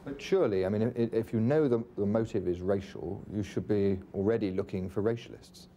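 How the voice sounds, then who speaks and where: A man's voice: on the low side, brisk, dark tone, slight background noise. A man asking challenging questions as a television news interviewer.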